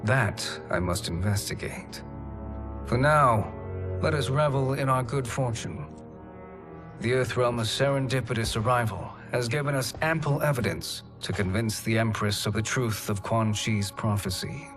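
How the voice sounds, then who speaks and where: An actor playing a scheming, ambitious sorcerer in a video game, speaking in a formal, measured, calculating way. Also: male voice, medium pitch, music in the background, natural voice. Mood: smug, calculating. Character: scheming, smooth-talking, ambitious evil sorcerer. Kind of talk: dramatic video game acting. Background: dramatic score.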